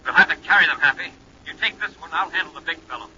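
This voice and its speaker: A man's voice: a little high, fast, background noise, lightly acted. An actor playing a brave male space commander, speaking in earnest, clipped 1950s radio drama style. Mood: commanding. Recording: muffled old radio transcription.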